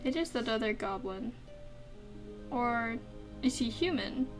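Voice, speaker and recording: Lady doing a chill lets play, slow talking but really up and down, reacts to everything, game music behind. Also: on the high side, animated. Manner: reacts aloud, talking to herself. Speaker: gaming video host. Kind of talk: casual let's play commentary.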